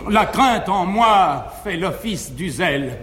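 An actor playing a garrulous, fearful but frank male valet, delivering quick, emphatic comic declamation on a 1950s live stage. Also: high-pitched, animated, a bit bright, slight background noise, heavily acted. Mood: mocking, confiding. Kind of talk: classical verse-era stage acting. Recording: echoey 1950s live theatre recording, reverberant hall.

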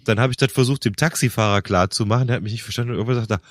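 A man's voice: fairly low pitch, rapid-fire, no echo, studio quality. A man hosting a casual podcast chat, laughing often and tripping over his own words. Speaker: podcast host.

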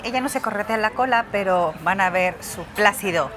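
A woman's voice: mid-range voice, moderate pace, crowd noise. A woman with a Mexican accent, co-hosting a podcast in casual chat, rambling and restarting her sentences as she recommends films. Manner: chatty, rambling, with many restarts and fillers.

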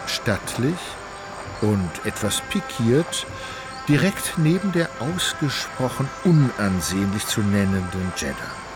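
A man narrating a literary radio play with dramatic, ornate storytelling. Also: mid-range voice. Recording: loud music behind voice.

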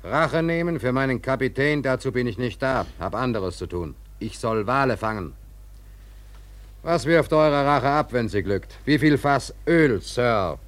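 An actor playing a principled, sober ship's mate in a German radio drama, speaking in a measured, firm, reasoning way. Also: male voice, medium pitch, expressive, close to an everyday voice. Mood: firm, restrained. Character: sober, principled ship's mate who defies his captain.

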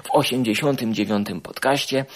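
A man's voice: medium pitch, fairly quick. A man with a Polish accent hosting an informal podcast roundup, talking colloquially and repeating phrases for emphasis in a mock-aggrieved way. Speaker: podcast and video show host. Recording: music behind the voice. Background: music.

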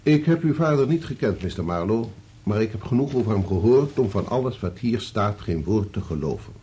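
An actor playing a measured senior lawyer in a radio play, formal and deliberate as he explains. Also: fairly low pitch, lively intonation, dark tone, close to an everyday voice. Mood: measured, authoritative. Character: measured male senior lawyer giving sober legal advice.

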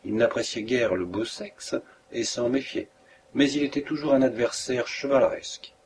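A man reading a classic detective story aloud as an audiobook narrator, in a measured, literary way. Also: medium pitch, noisy background. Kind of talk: scripted narration.

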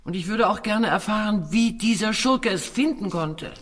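Woman acting expressive, husky refined Madame. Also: medium pitch, normal speed, close to an everyday voice. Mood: eager. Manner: theatrical, courteous, expressive. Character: refined widowed Madame on an Egyptian expedition, composed, eager. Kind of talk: German radio play acting. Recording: radio drama with faint music.

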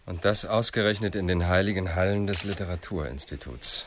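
Deep-voiced, dry police investigator. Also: male voice, a little fast, a bit bright, slight background noise. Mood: dry, probing. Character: educated male police investigator, probing and matter-of-fact. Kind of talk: radio crime drama dialogue.

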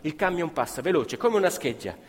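Animated, high-voiced male theatrical storyteller. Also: brisk, breathy edge. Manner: emphatic, repeats phrases for effect. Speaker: stage storyteller and actor. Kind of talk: dramatic narrative theatre storytelling. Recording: live music playing behind the voice. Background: live violin, piano and double bass music.

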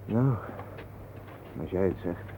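A man's voice: sits low, animated, slow. An actor playing a puzzled, skeptical man in a radio play, questioning with incredulity. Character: skeptical, puzzled male companion named Ben, questioning everything. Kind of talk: Dutch radio play acting. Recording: noisy, narrow-band old radio recording.

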